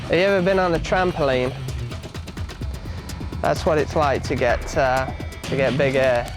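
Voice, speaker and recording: A man's voice: mid-range voice, measured pace. A man who rides bikes, talking casually as he gives an interview. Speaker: BMX rider being interviewed. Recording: music behind the voice.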